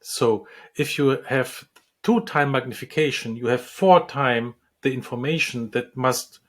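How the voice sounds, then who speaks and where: A man answers interview questions about his work as a dentist and lecturer. He speaks slowly and unhurriedly at a middle pitch, stopping often with ums and restarting his phrases. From Czech Republic. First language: Czech. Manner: hesitant, many ums and restarts.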